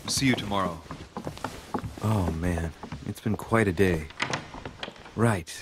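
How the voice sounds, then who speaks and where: An actor playing a pensive young gentleman investigator, musing wryly to himself in an understated way in a video game. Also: sits low, slow, very noisy. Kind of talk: video game monologue acting.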